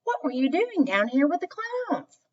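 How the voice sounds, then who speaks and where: Teasing, high-pitched big brother, acted by a woman. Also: very animated, moderate pace. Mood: teasing, calling. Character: teasing, impatient older brother, a boy. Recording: dull, distant microphone.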